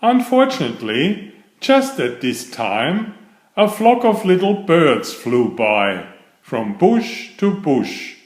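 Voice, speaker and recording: A man reading a children's story aloud in a measured, expressive storytelling manner. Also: a little high, echoey room.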